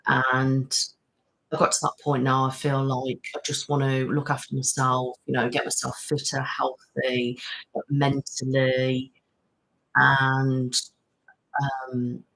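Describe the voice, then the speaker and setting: A woman's voice: very deep voice, monotone, takes it slowly, a bit bright, rough recording. Chatty woman in her thirties. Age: 30s. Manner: chatty, rambling, reads and answers viewer comments. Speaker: ASMR channel host. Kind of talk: casual live-stream chat.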